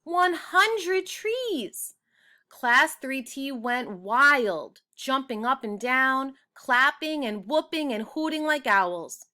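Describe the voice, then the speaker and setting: A woman reading a children's book aloud, with expressive, deliberate storytelling. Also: high-pitched. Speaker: storybook reader.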